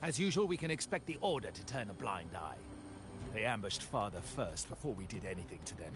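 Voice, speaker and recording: A man's voice: lowish voice, very animated, fairly quick, background noise. A man playing a stern, resentful mafia lieutenant in a video game, grave, clipped and authoritative. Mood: grim.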